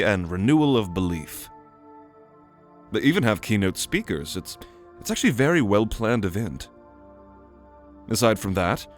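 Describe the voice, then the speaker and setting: A man voicing a weary magic teacher in an audio drama, lecturing with a dry, wry manner. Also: fairly low pitch. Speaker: narrator and teacher figure. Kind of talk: ASMR audio drama roleplay.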